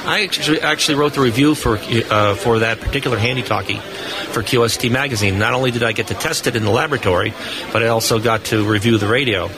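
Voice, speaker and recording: A man's voice: medium pitch, fast. A man giving detailed, technical explanations and stories as a guest in a live radio interview. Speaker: guest, amateur radio expert. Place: busy indoor convention hall booth. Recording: busy convention floor crowd noise. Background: crowd chatter.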